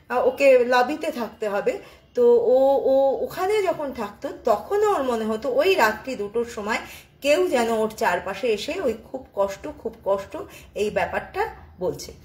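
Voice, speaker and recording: A woman's voice: high voice, animated, normal speed, echoey room. A woman telling a ghost story off the cuff, with frequent filler sounds and restarts.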